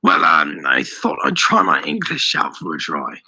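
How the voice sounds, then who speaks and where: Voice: very deep voice, huge pitch swings, normal speed, pushed and bright, husky, pitched below the performer's own voice, heavily acted. A storyteller voicing a bluffing, reckless and coolly ruthless pirate man in an adventure story. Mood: nervous bluffing.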